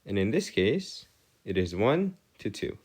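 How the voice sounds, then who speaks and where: A man giving an explanatory maths lesson as a tutor, with formal, wordy phrasing and halting, hesitant delivery. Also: on the low side, big pitch swings, a little mellow, husky. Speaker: math tutor. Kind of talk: explanatory maths lesson over written working.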